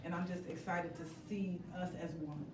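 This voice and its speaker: A woman's voice: on the low side, steady tone, moderate pace. A woman speaking as a guest in a live group interview, earnest and heartfelt, repeating points for emphasis. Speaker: play's creator as interview guest. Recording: echoey room, distant mic, noisy.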